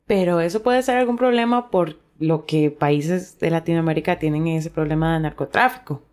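A woman's voice: sits low, moderate pace, darkish tone. A woman co-hosting a language lesson, presenting words and example sentences.

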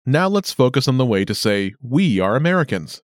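A man hosting a scripted language lesson with clear, upbeat announcer delivery. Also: mid-range voice, big pitch swings, fairly quick, no room sound, clean studio sound. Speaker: lesson host.

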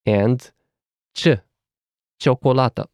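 A man with a Romanian accent teaching a recorded language lesson, saying each letter slowly and clearly. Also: mid-range voice, darkish tone, no room sound. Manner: measured, careful enunciation of letters.

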